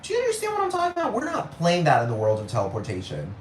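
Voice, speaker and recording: A man's voice: a little high, wildly swooping pitch, very fast, slight background noise. A young man with a Puerto Rican accent, hosting a solo podcast in a rambling, profane rant that keeps asking if you understand. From Puerto Rico, raised in Texas. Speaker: podcast host. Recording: roomy room with faint ambience.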